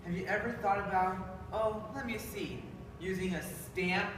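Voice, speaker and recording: A woman's voice: mid-range voice, measured pace, faint music behind, natural voice. A woman playing a sarcastic brother in a readers theater performance. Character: sarcastic, game-loving brother Robert. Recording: echoey hall from far off.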